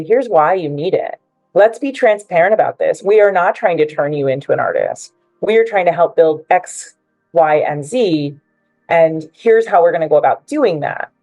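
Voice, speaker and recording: A woman's voice: lowish voice, mellow, no room sound, poor audio. A woman giving prepared, persuasive remarks as a panelist at a book presentation, joining remotely.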